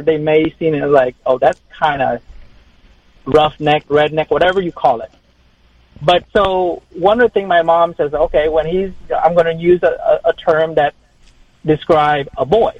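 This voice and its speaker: A man's voice: on the high side, dry recording, lo-fi sound. A man from Vietnam, calling into a radio show and speaking hesitantly, with many restarts. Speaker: caller. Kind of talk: call-in radio conversation.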